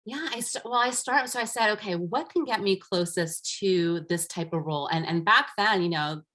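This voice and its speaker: A woman's voice: mid-range voice, moderate pace, a bit bright. Earnest woman telling her career story. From United States, raised by immigrant parents. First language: Armenian. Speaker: guest, coach. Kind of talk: casual interview talk.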